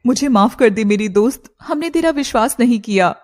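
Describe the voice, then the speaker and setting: A woman's voice: a little high, expressive, a little fast, a little hiss or hum, lightly acted. A woman voicing a young, loyal serpent woman in a cartoon, earnest and dramatic. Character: young female shape-shifting serpent woman, emotional and loyal. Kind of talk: Hindi cartoon character dialogue.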